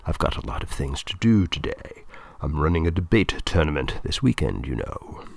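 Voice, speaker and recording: A man voicing a mild, weary middle-aged male debate coach in a quiet, dry, understated way. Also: extremely low pitch, very animated, normal speed, gravelly, a little hiss or hum, exaggerated voice.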